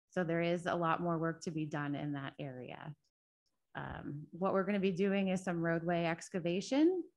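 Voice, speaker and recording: A woman project manager giving a project update at a city council meeting, soft-spoken and hesitant with frequent ums, speaking into a table microphone in a council chamber. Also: lowish voice. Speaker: state transportation project manager. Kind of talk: public meeting presentation.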